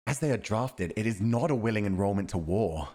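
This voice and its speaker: A man's voice: lowish voice, expressive, a little fast, darkish tone. A man acting a principled, earnest army commander advising a monarch in a fantasy audio roleplay, speaking in a formal, emphatic, righteous way. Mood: firm.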